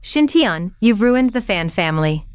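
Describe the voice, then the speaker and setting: A dismayed business heiress played by a woman in an animated comic drama. She questions and reproaches in a medium-pitched voice. The sound is dry, with no room on it. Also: a little slow, clear, resonant tone, no echo, lo-fi sound. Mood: dismayed, reproachful.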